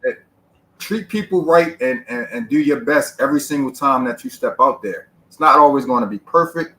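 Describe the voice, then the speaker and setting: A man hosts a podcast interview in an even, mid-range voice. He leans into his points with emphatic, repeated advice and plenty of "you know"s. He has an easy conversational style. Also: medium pitch, moderate pace, poor audio. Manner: emphatic, repetitive advice-giving. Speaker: podcast host.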